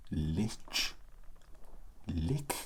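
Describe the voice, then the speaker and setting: A performer voicing a sassy undead immortal in an ASMR roleplay monologue, halting and sardonic, often slipping into a breathy whisper. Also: sits low, big pitch swings, full character voice. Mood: dry, wry. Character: sassy undead immortal, musing and scornful of mortals.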